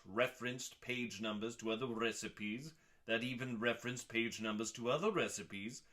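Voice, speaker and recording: A man giving a dramatic, mock-serious scripted reading as a host, pompous, with long pauses and drawn-out emphasis on words. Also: fairly low pitch, sharp tone. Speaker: host reading aloud from a cookbook.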